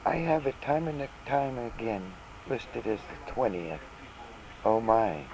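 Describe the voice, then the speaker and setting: A man's voice: mid-range voice, very animated, slow pace. A man making casual spoken notes on a very noisy home cassette recording.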